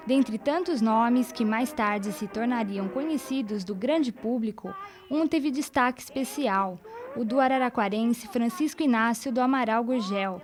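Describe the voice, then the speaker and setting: A woman's voice: a little high, measured pace, soft background music. A woman with a Brazilian accent reading scripted documentary narration.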